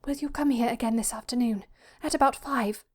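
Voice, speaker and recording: A high, breathy young woman's voice put on by a woman narrator for a timid, frightened daughter in a literary story. She speaks in anxious, hurried pleas, as if afraid of being overheard. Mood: urgent, desperate. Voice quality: breathy, gasping.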